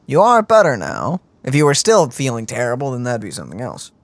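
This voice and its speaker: A man's voice: mid-range voice, huge pitch swings, brisk, no echo. A man giving casual, wry and sarcastic let's play commentary as he games. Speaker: gaming channel host.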